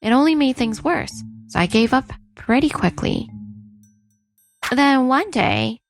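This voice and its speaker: A woman's voice: high voice, expressive, measured pace, creaky, faint music behind, full character voice. A woman acting and narrating as a weary but outspoken wife in her thirties in a dubbed story. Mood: weary, matter-of-fact. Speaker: narrator and lead character.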